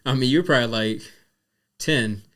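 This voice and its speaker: A man's voice: mid-range voice, moderate pace, a bit bright. A man in his forties from Virginia, co-hosting a podcast in laid-back casual chat with many fillers. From Virginia, Tidewater area. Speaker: podcast co-host.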